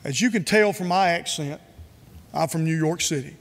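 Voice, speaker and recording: A man from North Carolina, giving an earnest, measured prepared speech with emphatic repetition. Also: a little high, in a large hall, slight background noise. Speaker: speaker.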